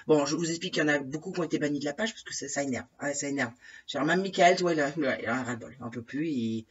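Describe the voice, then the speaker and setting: A woman's voice: low voice, fairly bright tone, breathy edge. A woman with a head cold, ranting indignantly in a casual monologue to her followers, repeating herself and asking pointed questions. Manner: indignant, exasperated, repeats herself, many fillers and tag questions. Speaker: vlogger addressing her followers. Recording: distant, dull lo-fi recording.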